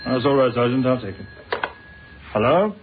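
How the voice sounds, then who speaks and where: Actor as a measured British police inspector, phone-like sound. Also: male voice, mid-range voice, lively intonation, heavy background noise, natural voice. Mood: polite, unmoved. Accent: British English. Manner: measured, polite, dryly unflappable. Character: patient, courteous male British police inspector. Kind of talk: old-fashioned British radio drama acting. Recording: narrow, phone-like old radio recording.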